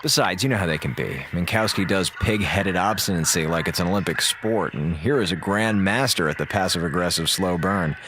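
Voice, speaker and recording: An actor playing a wisecracking, sarcastic communications officer on a space station, talking casually in a radio drama. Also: male voice, low voice, fairly quick, hardly any pauses, background noise, lightly acted. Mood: chatty. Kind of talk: radio drama audio log narration.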